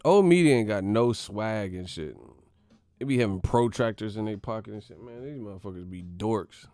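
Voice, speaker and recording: A man's voice: fairly low pitch, lively intonation, moderate pace, warm tone, smooth, no room sound, soft background music. A man hosting a boxing channel who rants in a slangy, profane way, laughing and mocking as he talks. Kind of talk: profane comedic vlog rant.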